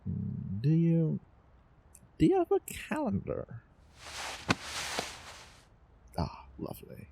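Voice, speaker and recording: A man playing a doting, obsessive young time traveler in love, in a theatrical romantic audio roleplay with halting, repeated words. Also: fairly high pitch, wildly swooping pitch, vocal fry, dark tone, slight background noise, full character voice. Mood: amused, curious. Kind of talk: over-the-top romantic roleplay audio drama.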